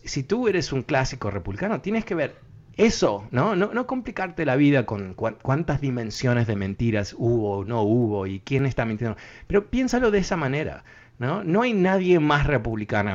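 A man's voice: medium pitch, sing-song, moderate pace. A man hosting a radio talk show, giving earnest commentary and thinking aloud with many hesitations and fillers. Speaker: radio host. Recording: muffled lo-fi radio broadcast.

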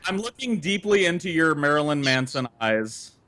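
A man's voice: on the high side, very animated, moderate pace. A man doing comedic podcast banter in a teasing, theatrical manner. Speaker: podcast co-host. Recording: clearly noisy mic with clicks.

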